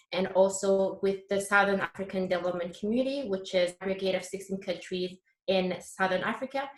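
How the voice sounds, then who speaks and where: A woman in her twenties, a medical student hosting a video call panel in an earnest, friendly way. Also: medium pitch. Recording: echoey room on a video call.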